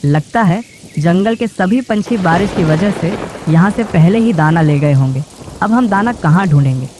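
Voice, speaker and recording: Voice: mid-range voice, animated, moderate pace, lightly acted. An actor voicing a sensible, polite father sparrow in a bird cartoon. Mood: let down. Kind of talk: Hindi bird cartoon voice acting. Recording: rain noise behind.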